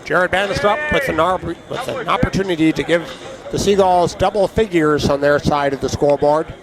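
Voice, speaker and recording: Raspy, hoarse male play-by-play caller, chatty between pitches, fairly high voice, crowd behind. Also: fairly quick. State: hoarse from allergies. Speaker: play-by-play announcer. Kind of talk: baseball play-by-play commentary.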